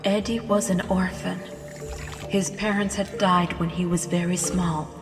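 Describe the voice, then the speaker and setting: A woman's voice: on the low side, little pitch movement, unhurried. A woman acting as hostess and narrator of a scripted story, telling it in a dramatic storytelling style. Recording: long reverb, music behind.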